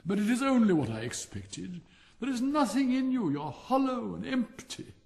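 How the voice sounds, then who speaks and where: A man's voice: extremely high pitch, sing-song, moderate pace, gravelly, darkish tone, voice pushed up, full character voice. A man voicing a pompous, self-pitying firework rocket in a storybook, haughty and melodramatic, with a Received Pronunciation accent. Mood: scornful. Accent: English Received Pronunciation. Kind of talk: theatrical storybook character reading.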